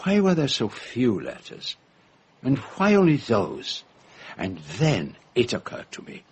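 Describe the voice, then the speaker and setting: An actor playing a fussy, shrewd foreign gentleman detective, speaking in precise, formal phrases in a radio drama. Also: medium pitch, huge pitch swings, unhurried, slightly rough, full character voice. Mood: dramatic deduction. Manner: precise, theatrical, formal phrasing with French forms of address.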